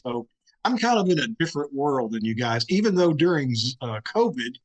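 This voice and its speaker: A man's voice: fairly high pitch, sing-song, normal speed, rough recording. A man on a discussion panel explaining things in a plain-spoken, conversational way. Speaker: panelist, live radio recreation group member.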